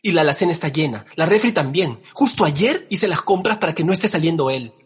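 A man's voice: a little high, expressive, fast, slightly rough, long reverb tail. An actor playing an anxious man who pleads with a friend over the phone in a radio play. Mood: pleading. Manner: pleading, jittery. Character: anxious man fretting over his missing partner.

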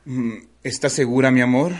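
A man's voice: mid-range voice, steady tone, moderate pace, natural voice. A man acting a tender, hesitant middle-aged husband in mourning in a radio soap opera. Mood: tender, wistful. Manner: soft-hearted, hesitant, consoling. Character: gentle, apologetic grieving middle-aged husband.

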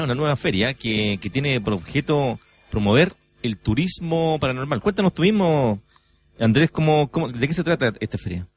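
A Chilean man hosting a live radio talk show, effusive and formally friendly in his address. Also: medium pitch. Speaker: radio host. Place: radio studio. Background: background music.

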